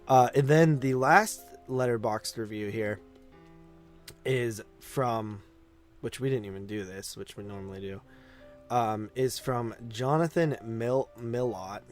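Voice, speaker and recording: A man's voice: medium pitch, measured pace, soft background music. A man co-hosting a podcast, chatting casually about movies in loose, conversational phrasing that often circles back on itself. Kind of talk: casual film review chat.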